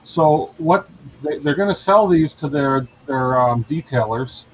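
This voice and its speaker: A man's voice: fairly high pitch, lively intonation, unhurried, muffled recording, a little hiss or hum. A man with a Canadian accent joking around in a casual video-call chat, his mic set across the room. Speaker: hangout participant. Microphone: wrong mic far across the room.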